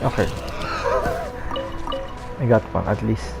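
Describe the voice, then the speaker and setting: A woman's voice: a bass voice, slow, vocal fry. A woman giving casual, hesitant, muttered commentary as she plays a game. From Philippines. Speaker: gameplay commentator. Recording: game music behind the voice. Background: game music.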